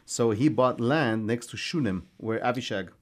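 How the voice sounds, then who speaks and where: A man from Israel speaks as a studio guest. His voice sits at an ordinary middle pitch, and he answers in a measured, conversational way. He often pauses and restarts his sentences. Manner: measured, with frequent fillers and restarts.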